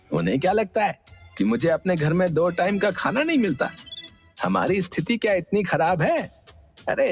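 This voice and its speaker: A man voicing a touchy, resentful middle-aged brother in a cartoon, sulky and quick to take offence. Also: high-pitched. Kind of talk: cartoon voice acting. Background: background music.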